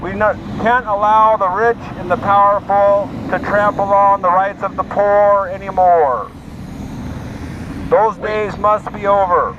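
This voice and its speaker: A man giving an impassioned, emphatic advocacy speech with religious appeals and repeated slogans through a megaphone. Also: high voice. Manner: exhorting, emphatic, repetitive slogans. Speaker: homeless advocate, street speaker. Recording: noisy, dull megaphone sound outdoors with traffic.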